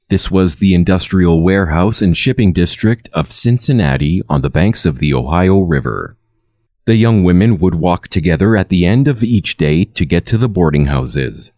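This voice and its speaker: Male narrator, deep voice, lively intonation, measured scary-story delivery, dry close sound. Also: no room sound. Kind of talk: scripted bedtime horror story narration.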